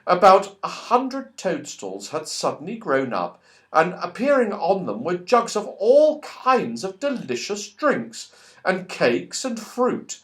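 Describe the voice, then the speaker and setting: A man narrating a children's story in an expressive, playful way, exclaiming over events as an audiobook reader. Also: high-pitched, slow.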